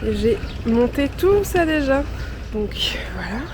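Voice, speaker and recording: A woman's voice: a little high, very animated, slow pace. A woman in her twenties talking casually and reflectively to her viewers as a travel vlogger, with a cold and a sore throat. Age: 20s. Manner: chatty, reflective, speaks to viewers as friends. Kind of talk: casual confessional vlog chat. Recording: clear outdoor street noise behind.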